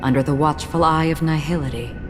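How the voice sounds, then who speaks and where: A woman voicing a calm, enigmatic, solemn wanderer in a video game cutscene, speaking in a measured, stoic way. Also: low voice, close to an everyday voice. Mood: solemn, detached. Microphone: close studio voice recording. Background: game music.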